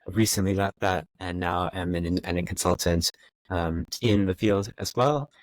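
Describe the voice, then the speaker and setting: Deep-voiced American man, dry close sound. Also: normal speed, no room sound. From United States. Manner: thoughtful, frequent fillers and restarts. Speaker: guest. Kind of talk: conversational interview.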